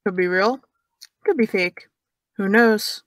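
A woman's voice: medium pitch, slow pace, no echo, clean studio sound, lightly acted. A young actress playing a sarcastic young woman who teases and needles in an audio drama. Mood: sly teasing. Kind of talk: audio drama dialogue of a video call.

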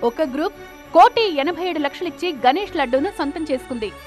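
A woman's voice: high voice, animated, a little fast, darkish tone. A woman reading scripted news narration as a reporter. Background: background music.

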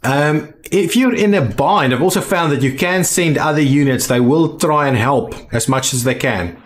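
A man giving casual video game commentary, thinking aloud in a halting way as he plays. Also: fairly high pitch. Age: adult. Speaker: gaming video host.

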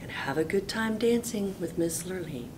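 Woman as a hushed, breathy fairy godmother cow. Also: fairly low pitch, expressive, moderate pace, noisy background, natural voice. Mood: gentle, mysterious.

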